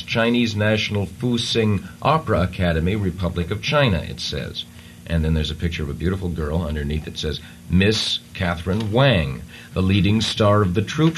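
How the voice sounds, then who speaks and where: A man with a fairly low voice reads a stiff, formal text aloud in a deadpan manner. He chuckles at the wording between lines. A faint hiss lies under the old radio tape. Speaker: comedy radio performer. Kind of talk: improvised radio comedy, reading aloud.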